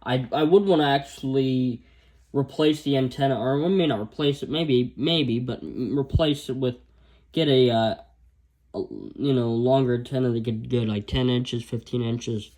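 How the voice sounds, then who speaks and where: Man, casual gadget review, rambling, filler-heavy, middle pitch. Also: normal speed, darkish tone. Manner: rambling, many fillers and restarts. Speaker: radio gear reviewer.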